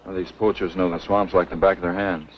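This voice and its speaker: A man plays a stoic young lawman of the swamps with a deep voice and rapid delivery. It is clipped 1950s film acting, slightly noisy in the background. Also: flat delivery, lightly acted. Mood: firm, unworried. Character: stoic, confident young game warden. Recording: dull old film soundtrack, faint noise.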